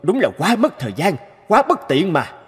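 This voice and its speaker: A man's voice: high voice, sing-song, normal speed, husky, exaggerated voice. A man voicing a busy, grumbling male research scientist in a cartoon, with a harried manner. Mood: exasperated. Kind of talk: cartoon voice acting.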